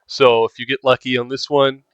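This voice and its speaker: Casting: male walkthrough commentator, casual explanatory delivery, average pitch, lo-fi sound. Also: flat delivery, normal speed, a bit bright. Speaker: gamer giving a walkthrough. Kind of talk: casual game guide commentary.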